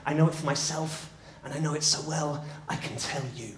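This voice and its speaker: A man's voice: on the high side, brisk, a bit bright, echoey room, natural voice. A man voicing a troubled, flustered man who has lost his nerve, agitated and exclaiming, in live storytelling. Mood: resolute.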